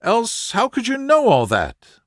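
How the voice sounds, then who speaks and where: A man's voice: extremely high pitch, huge pitch swings, unhurried, no room sound, clean studio sound, voice pushed up, exaggerated voice. A man voicing a nervous, earnest, good-humored young woman in an audiobook story, with an anxious, confiding manner. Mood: startled.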